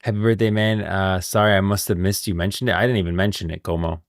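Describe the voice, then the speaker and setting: A man's voice: low-pitched, steady tone, fast, no echo, clean studio sound. A man hosting a livestream, chatting and reacting casually in informal, slangy speech. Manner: informal, slangy, thanks viewers often. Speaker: livestream host.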